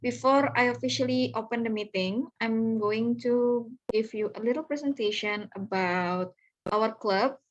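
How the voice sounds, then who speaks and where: Higher-voiced woman presenting slides. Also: a little slow, lo-fi sound, slight background noise. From Indonesia. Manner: earnest, welcoming, talks through slides step by step. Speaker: club officer presenting. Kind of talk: online club presentation. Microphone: video call.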